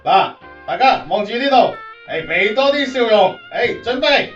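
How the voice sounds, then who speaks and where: A man's voice: sits high, animated, moderate pace, a bit bright, distant mic. A man acting a chatty, obliging photographer fussing over customers in a Cantonese radio drama. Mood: reassuring, coaxing. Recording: roomy, dull sound, off-mic, faint music.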